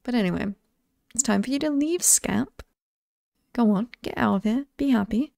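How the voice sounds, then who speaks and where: Creaky, chatty British slasher villainess acted by a woman. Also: fairly high pitch, normal speed, mellow, no echo, studio quality. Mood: friendly, encouraging. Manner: conversational, wry, hesitant asides. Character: chatty, oddly sweet female slasher killer, apologetic and upbeat. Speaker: ASMR roleplay performer. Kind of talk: ASMR villain roleplay monologue.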